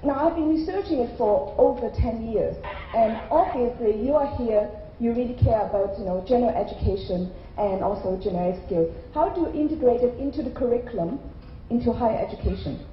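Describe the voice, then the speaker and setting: A woman's voice: fairly high pitch, a little fast, slight background noise. A middle-aged woman who grew up in Ireland gives a conversational conference keynote into a handheld mic, often checking in with "okay?". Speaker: keynote speaker. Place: large hotel conference hall. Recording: roomy hall sound, slightly dull.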